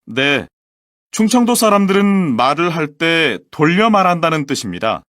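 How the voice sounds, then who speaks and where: Clear formal man reading a lesson. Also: on the high side, big pitch swings, a bit bright, no room sound, clean studio sound. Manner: clear, measured, formal presentation delivery. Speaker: textbook audio voice actor. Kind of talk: scripted language-lesson reading.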